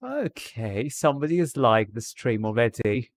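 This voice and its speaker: Male streamer, affected Received Pronunciation British accent, casual direct-to-viewer chat, mid-pitched voice, dry sound. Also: expressive, moderate pace, no room sound. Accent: put-on British Received Pronunciation. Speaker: live streamer.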